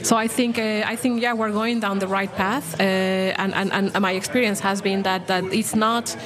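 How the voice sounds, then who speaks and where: A woman's voice: mid-range voice, steady tone, moderate pace, a crowd in the background. A woman in her forties from Latin America, a bank program director speaking as an interview guest, passionate and full of restarts and fillers. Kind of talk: podcast interview.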